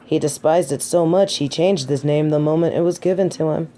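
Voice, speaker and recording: A woman's voice: deep voice, steady tone, fairly quick, dark tone, natural voice, rough recording. A woman playing a snobby, centuries-old fallen angel of judgement in a scripted audio roleplay, with a pompous, formal and disdainful way of speaking. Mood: wry, reminiscing.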